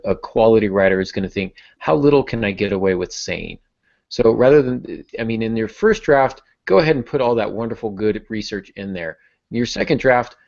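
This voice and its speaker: A man with a creaky, lowish voice, hosting a casual discussion. He thinks aloud as he talks, and the podcast audio is a bit dull and lo-fi. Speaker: podcast host and moderator.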